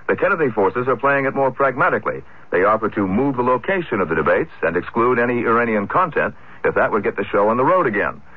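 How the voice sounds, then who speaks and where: A man's voice: mid-range voice, slightly rough. An American man reading a scripted network radio news bulletin as anchor. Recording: muffled archival radio broadcast.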